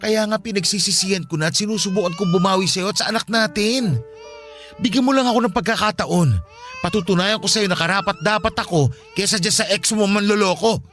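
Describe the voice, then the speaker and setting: Hurt filipino young dad, radio drama, talks fast, insistent and emotional, faint music behind. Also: male voice, sits high, fairly bright tone. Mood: tearful pleading. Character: aggrieved, pleading young father devoted to his baby.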